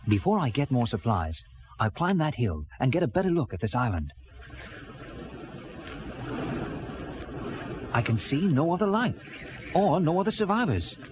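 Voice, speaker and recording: A man's voice: a little high, sing-song, fairly quick, noisy background. An actor playing an earnest castaway sea captain, telling his story in theatrical first-person storybook narration. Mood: earnest, reflective. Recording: music bed under early-1980s children's record. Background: dramatic background music.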